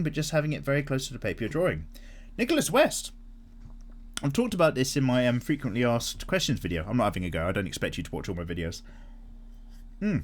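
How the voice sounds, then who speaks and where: A young man chats his way through viewer comments while he sketches. His voice at a middle pitch swings up and down freely, and he rambles with plenty of fillers and the odd casual swear. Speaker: art channel host.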